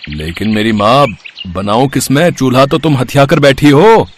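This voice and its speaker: A man's voice: mid-range voice, expressive, normal speed, slight background noise, lightly acted. A man playing an exasperated poor village labourer husband, complaining and exclaiming in a bedtime story. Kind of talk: acted dialogue in a bedtime story.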